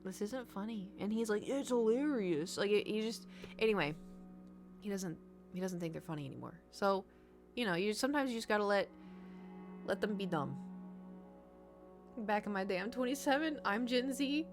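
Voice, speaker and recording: A woman's voice: medium pitch, expressive, moderate pace, pauses often. A woman from the United States, a gaming streamer rambling in casual chat and answering her chat. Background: background music.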